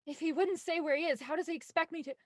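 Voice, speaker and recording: A woman's voice: very high voice, fast, dry recording, studio quality. An actress playing a determined, impatient woman with an urgent, insistent manner in an audio drama. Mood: desperate. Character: Felicity, determined, impatient woman desperate to find her brother.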